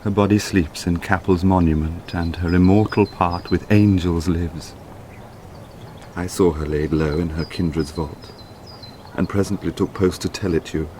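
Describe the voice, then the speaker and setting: Casting: dutiful bearer of bad news, low British voice, solemn and respectful, classical radio drama. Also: male voice, fairly even intonation, unhurried, a little mellow, slight background noise. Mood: grave, sorrowful. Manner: grave, deferential. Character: loyal, sorrowful manservant bearing bad news to his master.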